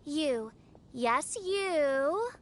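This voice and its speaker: A woman's voice: high voice, expressive, drawn-out words, slight background noise, full character voice. A woman voicing a grandiose teenage girl who styles herself a princess in a video game, speaking in pompous, archaic declamation. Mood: imperious. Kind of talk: video game cutscene acting.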